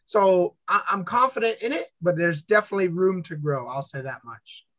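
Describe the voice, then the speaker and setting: An upbeat man talks casually as an interview guest, telling stories with animation. His voice sits high and rings bright. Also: animated, normal speed, no echo. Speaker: guest content creator.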